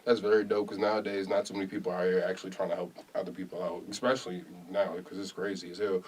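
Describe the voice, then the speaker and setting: A laid-back man hosting a podcast, talking fast in playful slang. His voice sits on the low side and is a little off the mic. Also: level tone, distant mic, crowd noise. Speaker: podcast host. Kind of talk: casual interview chat.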